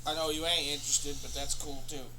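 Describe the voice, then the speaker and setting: A man hosting a comedy show, rambling through an absurd, profane monologue in a deadpan way. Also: on the high side, a little fast, noisy background. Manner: rambling, profane, deadpan nonsense with sudden bursts of laughter.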